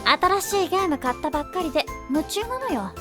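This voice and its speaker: A woman playing a sensible, teasing teenage schoolgirl in an anime-style voice drama, gently scolding and playful. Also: very high-pitched, sing-song, brisk. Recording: loud music behind the voice.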